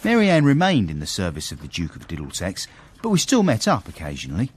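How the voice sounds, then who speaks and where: An actor playing a smug, courteous British manservant turned gentleman, telling his story in a genteel, formal way in a radio period drama. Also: low voice, huge pitch swings, quick pace, breathy edge, full character voice. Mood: smug storytelling. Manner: genteel, self-satisfied, formal wording. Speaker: narrator and lead character.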